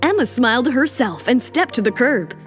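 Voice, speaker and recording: A woman's voice: on the high side, big pitch swings, a little fast. A woman reading scripted, dramatic narration for a serialized romance audio story. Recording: music under the voice.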